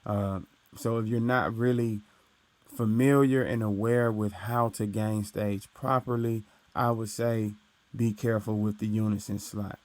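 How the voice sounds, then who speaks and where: A young man with a deep voice casually explaining recording gear, talking into his studio mic and tagging his points with "right?". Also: flat delivery, unhurried, a little mellow, no echo. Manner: explains loosely with frequent "right?" and "uh". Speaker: recording engineer teaching. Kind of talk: casual tutorial walkthrough. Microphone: studio microphone through his interface.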